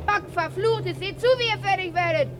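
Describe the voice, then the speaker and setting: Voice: extremely high pitch, a little fast, noisy background. A performer playing a bossy, swaggering boy who leads a street gang in a radio play, giving clipped orders. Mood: indignant. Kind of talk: German radio play dialogue.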